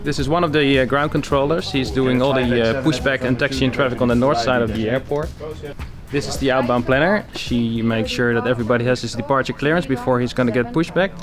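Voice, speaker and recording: A man's voice: medium pitch, fairly quick. Matter-of-fact man explaining, music behind. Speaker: air traffic control tower guide. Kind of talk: informal explanatory tour talk.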